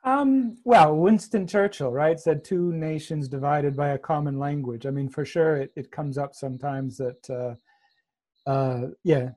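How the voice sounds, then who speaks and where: An American man with a softened Boston accent, answering interview questions hesitantly. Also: a little high, a little slow. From Massachusetts, USA. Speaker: guest. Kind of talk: conversational interview.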